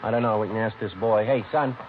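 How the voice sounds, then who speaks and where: An actor playing a stoic police sergeant with an American accent, deadpan and businesslike, in a 1950s radio crime drama. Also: male voice, on the low side, fast talker, natural voice. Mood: casual, inquiring. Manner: deadpan, clipped. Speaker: actor, narrator and lead. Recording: muffled, noisy vintage radio recording.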